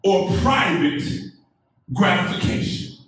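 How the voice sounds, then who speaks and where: A middle-aged man preaching a sermon as pastor, in measured phrases that build up to emphatic calls answered by the congregation. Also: high-pitched, expressive, fairly bright tone. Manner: measured preaching with call-and-response and rising emphatic build-ups. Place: church sanctuary, indoors, medium-sized. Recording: echoey church sanctuary from a distance.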